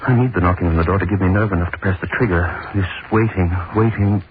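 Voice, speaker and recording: A man's voice: sits low, steady tone, quick pace, natural voice. An actor playing an obsessive, cruel hangman who gloats and broods over his work, in a 1930s radio drama monologue. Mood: anxious. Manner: gloating, brooding, lingers on words. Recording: scratchy tinny 1930s radio broadcast.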